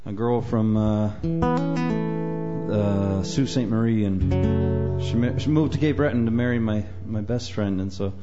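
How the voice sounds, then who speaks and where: A man from Nova Scotia, a folk singer-songwriter, chatting on stage between songs in a soft-spoken, hesitant way with many fillers. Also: on the low side, steady tone, a little slow. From Nova Scotia, Canada. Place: small live venue on stage. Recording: dull lo-fi live recording with guitar. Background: guitar playing faintly.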